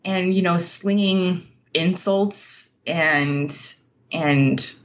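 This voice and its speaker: A woman's voice: lowish voice, very slow, dull audio. A woman speaking as an interview guest, a writer talking in an earnest, informal and reflective way. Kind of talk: casual conversational interview.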